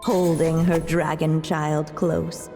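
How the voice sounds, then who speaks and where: A woman playing a calm, solemn storybook narrator in a video game, telling a fairy tale in measured, lyrical phrases. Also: low voice, soft background music. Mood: gentle storytelling.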